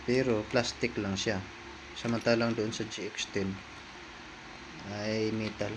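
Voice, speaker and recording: A man's voice: on the low side, little pitch movement, a little slow, background noise. A man with a Filipino accent giving a casual hands-on unboxing review, talking informally to viewers and often repeating himself. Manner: informal, repetitive, talking to viewers as he handles items. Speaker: vlogger, product reviewer.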